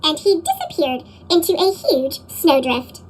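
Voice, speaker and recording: Female children's narrator, chipmunk-processed, squeaky high, very animated read-aloud delivery. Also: measured pace, heavy background noise. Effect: sped-up chipmunk pitch effect. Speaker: storybook reader.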